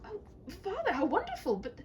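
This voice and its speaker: A woman voicing a timid, devoted young princess in a humorous fairy tale, gentle and hesitant. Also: very high voice, huge pitch swings, normal speed, a little hiss or hum, pitched above the performer's own voice, full character voice. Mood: timid concern.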